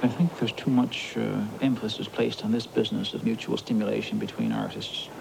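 A man from Newfoundland, a novelist, giving deliberate, opinionated views in an interview on archival tape. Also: on the low side, sing-song, gravelly. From Newfoundland, Canada. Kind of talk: reflective interview talk. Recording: noisy archival documentary tape.

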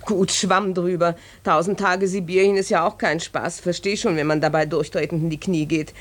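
An actress playing a chatty, callous working-class housewife in a 1940s German radio drama, blunt and breezy about grim things. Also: female voice, on the low side, steady tone, normal speed, close to an everyday voice. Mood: chatty, explaining.

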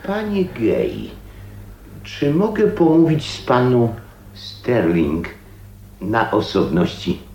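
Slow, halting, moralising pastor. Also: male voice, medium pitch, huge pitch swings, gravelly, darkish tone, heavily acted. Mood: formal, halting. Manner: formal, halting, preachy. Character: stiff, earnest, moralising male pastor. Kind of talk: Polish radio drama acting. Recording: roomy echo, faint noise, radio drama.

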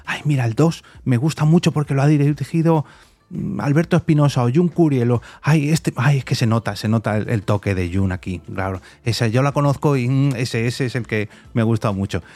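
A man's voice: mid-range voice, brisk, a little mellow, no echo. A man with an accent from Spain hosting a podcast interview in a conversational style.

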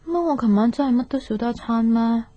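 An actress as a husky, pensive young woman. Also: a little high, fast, warm tone, natural voice. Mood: hesitant, probing. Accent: Hong Kong Cantonese. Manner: reflective, hesitant, earnest. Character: pensive, soft-hearted young woman unsure in love. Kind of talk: radio drama acting, intimate conversational. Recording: dull radio recording, music underneath.

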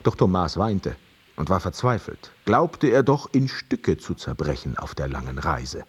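A deep, husky male storyteller. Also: exaggerated voice. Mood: theatrical, wry. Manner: expressive, deliberate, dramatic. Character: storyteller of an old tale, wry and theatrical. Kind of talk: radio drama storytelling, scripted narration.

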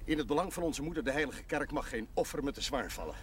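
An actor playing a jovial, shrewd parish priest in a television drama, theatrical and teasing with mock solemnity. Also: male voice, on the high side, expressive, quick pace, slight background noise, close to an everyday voice.

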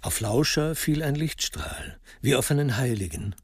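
A man narrating a scripted radio crime drama in a wry, measured storytelling manner. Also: mid-range voice, animated, darkish tone, slightly rough, no room sound.

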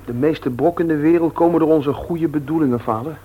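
A man's voice: on the high side, a little fast, slightly rough, phone-quality sound. A man playing an insolent, rebellious young son in a radio play, flippant and cool, sometimes hesitant. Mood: candid, guarded. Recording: old radio play recording.

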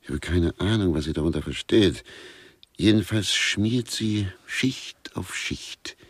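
Very deep, husky, wry writer. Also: male voice, unhurried, dry recording, exaggerated voice. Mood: wry, musing. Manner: pedantic, deadpan, relishing lists. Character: wry male writer with writer's scruples, gossiping about suspects. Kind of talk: German radio play dialogue.

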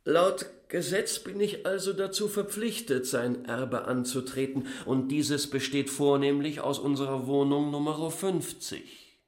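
A man's voice: a little high, measured pace, natural voice. A man playing a shrewd, self-important economic planner in a radio drama, speaking with formal, emphatic courtesy. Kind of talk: German radio drama acting. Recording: roomy, reverberant studio sound.

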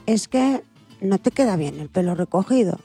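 A woman's voice: medium pitch, animated, moderate pace, warm tone, breathy edge, faint music behind. A woman playing a meddling, coaxing acquaintance who hands out advice in a children's radio play. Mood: coaxing, critical. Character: meddling acquaintance giving a girl advice on her looks.

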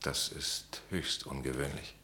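A very deep, grave psychiatrist. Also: male voice, steady tone, bright, forceful tone, full character voice. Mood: weary, terse. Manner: measured, formal, weighty pronouncements. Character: grave, authoritative male psychiatrist, calm and oracular. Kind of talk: German radio play acting.

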